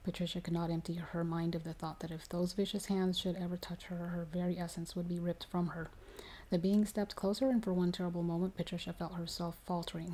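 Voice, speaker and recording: Mellow, low-pitched woman reading softly. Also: poor audio. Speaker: ASMR storyteller reading aloud. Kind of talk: ASMR reading of a horror story.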